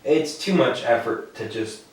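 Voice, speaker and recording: A man's voice: mid-range voice, animated, a little slow. A young man in his twenties speaks bluntly and with a teasing edge, swearing freely, his voice moving up and down a lot. He sounds far from the mic in a very echoey room, with a faint hiss of noise behind him. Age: 20s. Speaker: podcast co-host. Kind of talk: casual chat.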